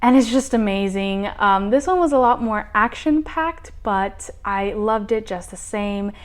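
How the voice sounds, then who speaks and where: Enthusiastic woman with a smooth clear voice. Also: medium pitch, a little slow. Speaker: book reviewer. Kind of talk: booktube recommendation.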